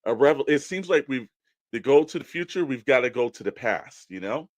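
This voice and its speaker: A man co-hosting a podcast, talking casually about collectibles in a rambling, halting way that often circles back on itself. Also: mid-range voice, expressive, dry recording. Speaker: podcast co-host.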